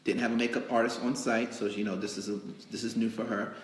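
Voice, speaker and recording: A man with a fairly low voice hosts an improvised live show from a roomy, echoing indoor space. He talks casually and haltingly, rambling along to fill time. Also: little pitch movement. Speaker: magazine editor hosting a live interview show. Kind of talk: casual improvised livestream hosting. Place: indoors in a cake studio.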